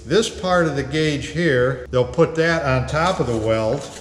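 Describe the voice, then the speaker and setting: A man's voice: on the high side, a little slow, soft background music. Plain-spoken man in an echoey workshop. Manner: plain, practical, folksy explaining. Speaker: auto body instructor. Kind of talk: hands-on welding demonstration. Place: garage workshop with red tool chests.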